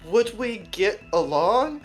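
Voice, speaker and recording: A woman's voice: mid-range voice, very animated, slow pace, faint music behind. A woman chatting casually in a group Q&A as a podcast cast member, wry and blunt, swearing as she goes.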